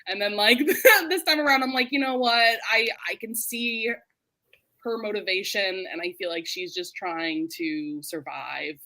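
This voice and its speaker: A woman with a bright, lively voice hosts an informal book chat. Her manner is giggly and animated, with loose, conversational phrasing. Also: medium pitch, unhurried. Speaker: host of a book readalong live show.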